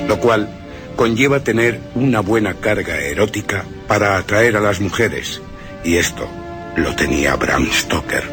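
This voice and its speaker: Male radio narrator, lowish voice, scripted delivery with prominent music underneath. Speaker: radio presenter. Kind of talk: scripted film-history narration.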